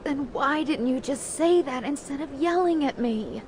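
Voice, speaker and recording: A woman's voice: high-pitched, normal speed, a little mellow, a little hiss or hum, natural voice. A woman playing a stubborn, defensive pregnant widow in a video game drama. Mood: softened.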